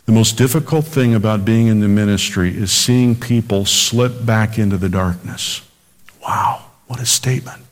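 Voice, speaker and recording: A man preaching a radio sermon, earnest, slowing down when he quotes scripture. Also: medium pitch, long reverb tail. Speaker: pastor.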